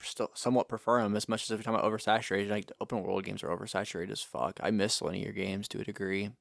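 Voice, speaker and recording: A man's voice: fairly low pitch, fairly even intonation, very fast, gravelly, fairly bright tone, no echo, studio quality. A man co-hosting a podcast, talking bluntly with plenty of swearing and strong opinions. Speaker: podcast co-host. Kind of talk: casual chat.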